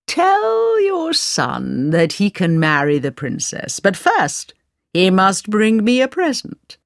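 A woman voicing an old male sultan, speaking slowly and grandly. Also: medium pitch, dry recording, studio quality, pitched above the performer's own voice, heavily acted.

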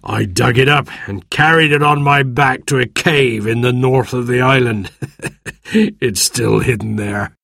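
A man's voice: mid-range voice, unhurried, creaky, a bit bright, no echo. A man voicing a cheerful, eccentric marooned castaway in a storybook. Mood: proud, amused.

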